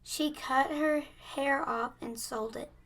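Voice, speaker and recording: A woman's voice: sits high, fairly even intonation, slow pace, a bit bright. A young girl playing a cheerful, gushing friend in a school radio play. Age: child, about 9 or 10.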